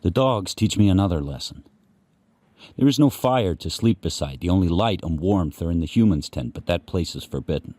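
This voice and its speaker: An actor playing a grim, watchful sled dog who narrates his harsh life in spare, deliberate sentences for a radio play. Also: sits low, animated, dark tone, no echo. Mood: hushed, bleak. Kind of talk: first-person dramatic narration in a radio play. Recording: faint background noise.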